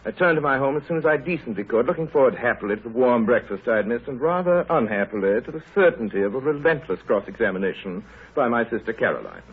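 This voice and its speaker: A man's voice: medium pitch, big pitch swings, fast talker, husky. An actor playing a wry village doctor who narrates his tale with dry humor in a 1930s radio drama. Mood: wry, measured. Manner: dry, droll, literate. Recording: muffled, scratchy 1930s radio broadcast.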